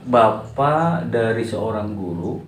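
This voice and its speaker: A man's voice: lowish voice, animated, slow pace, slight background noise. A man interviewing a guest, asking polite questions and calling him "Pak". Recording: echoey room.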